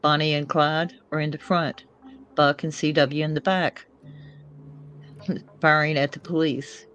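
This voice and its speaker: A woman's voice: low-pitched, steady tone, a little slow, a bit bright, muffled recording. A woman narrating screenplay action in a loose, informal way, sometimes stumbling over the script. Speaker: co-reader of screenplay.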